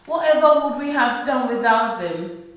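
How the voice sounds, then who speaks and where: Radio drama: actress as gentle working-class mum, worried, higher-pitched, heard in echoey room. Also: female voice, lively intonation, normal speed, lightly acted. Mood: grateful, sorrowful. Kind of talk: radio play acting. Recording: echoey room, far from mic.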